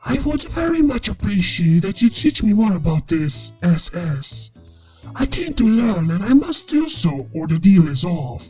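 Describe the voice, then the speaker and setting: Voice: mid-range voice, very animated, unhurried, mellow, breathy edge, soft background music. A performer voicing a curious, wary male mechanic creature in a fan fiction reading, with formal, demanding phrasing. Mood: insistent.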